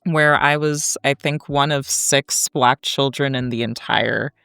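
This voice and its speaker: American woman, 30s, academic interviewee. Low pitch, slow measured delivery, articulate and reflective. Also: steady tone, gravelly, fairly bright tone, clean tone, no echo, clean studio sound, faint music behind. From Kansas, United States. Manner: articulate, deliberate, explanatory. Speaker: academic guest, historian. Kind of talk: reflective conversational storytelling.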